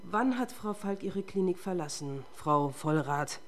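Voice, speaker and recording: Female police detective, lowish voice, relentless, sardonic, accusing, radio crime drama. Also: lively intonation, a little slow.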